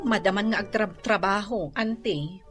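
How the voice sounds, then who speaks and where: A woman acting a suspicious, nagging wife in Ilocano radio drama, with a drawn-out, questioning manner. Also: mid-range voice, a bit bright, faint music behind, natural voice. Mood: curious, accepting. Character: suspicious, nagging wife keeping watch on her husband.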